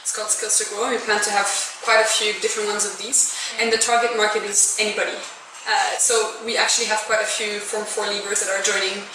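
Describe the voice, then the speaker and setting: A woman's voice: medium pitch, little pitch movement, a little fast, hardly any pauses. A young woman from Belgium who grew up in France, an enterprise founder explaining her story earnestly in an informal interview. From born in Belgium, grew up in rural France. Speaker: social enterprise founder and managing director being interviewed. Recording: very echoey room, distant mic, background noise.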